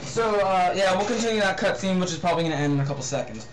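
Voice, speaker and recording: A young man giving casual, excitable, grumbling let's play commentary on a video game. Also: high-pitched, very fast, low-quality recording. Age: teens or young adult. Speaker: gaming video host.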